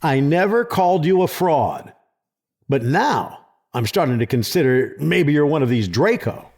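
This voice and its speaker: Audio drama: male actor as scornful official, raspy, lively intonation, dripping sarcasm. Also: fairly high pitch, measured pace, full character voice. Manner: sarcastic, dismissive. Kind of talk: dramatic audio fiction acting.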